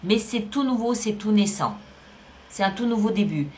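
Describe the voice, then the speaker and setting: A woman with a medium-pitched voice gives a spoken tarot reading to her audience online. She explains each card emphatically, restating phrases to underline her meaning. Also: animated, normal speed, darkish tone, a little hiss or hum. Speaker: tarot reader and channel host.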